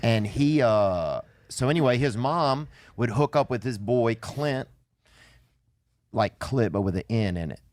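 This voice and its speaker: A man telling comic stories casually in a rambling, colloquial way with folksy deadpan phrasing. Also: medium pitch, measured pace, dry recording, clean studio sound. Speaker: podcast storyteller. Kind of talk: casual comedic storytelling.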